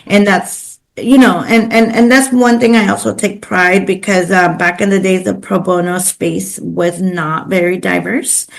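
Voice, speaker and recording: A woman who speaks Spanish natively chats as an interview guest. Her voice sits at an average pitch with plenty of vocal fry. She is earnest and talkative, explaining things in a conversational way. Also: fairly even intonation, a little slow, few pauses. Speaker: podcast guest, pro bono legal coordinator. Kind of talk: conversational interview, personal career storytelling.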